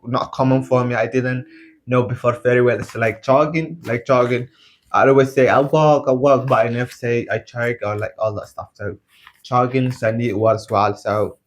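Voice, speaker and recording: A man from Somalia with a Somali accent speaks casual, halting learner English to camera, repeating phrases as he practises. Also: mid-range voice. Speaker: English learner and video blogger.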